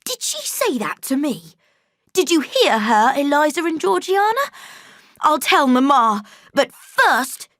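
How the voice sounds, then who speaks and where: A shrill, bratty young boy, spoiled and bullying, shrieking high in a storybook reading, as a woman puts him on. His voice jumps very high and he gets loud and indignant. It sounds dry and close. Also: big pitch swings, slow pace, no room sound, exaggerated voice. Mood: outraged.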